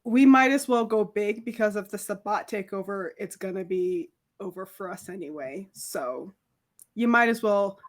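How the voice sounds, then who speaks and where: A woman's voice: mid-range voice, normal speed. A woman playing a tabletop roleplaying game, speaking casually as she improvises lines in character.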